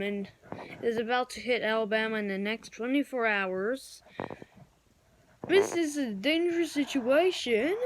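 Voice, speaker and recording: A woman improvising mock emergency news and weather bulletins in a halting, stumbling amateur announcer delivery. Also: fairly high pitch, a little hiss or hum, rough recording. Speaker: amateur video creator playing broadcast announcer.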